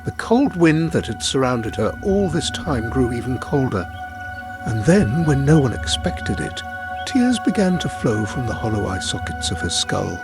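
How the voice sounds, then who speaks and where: A male storyteller with a medium-pitched voice reads a folk tale. He varies his intonation a lot as he narrates, and music plays underneath. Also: sing-song, normal speed, low-quality recording. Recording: music bed behind the voice.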